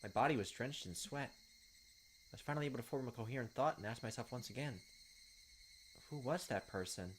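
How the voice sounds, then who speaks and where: A man reads a visual novel aloud in a hushed, suspenseful way, lingering on phrases and slipping into casual remarks. His voice is medium in pitch. There is clear noise behind him, with clicks and chirping insects. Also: fairly quick, long pauses. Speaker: gaming video host reading a visual novel aloud. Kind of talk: dramatic narration of game text with casual commentary. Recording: clearly noisy home recording, clicks, insect sounds.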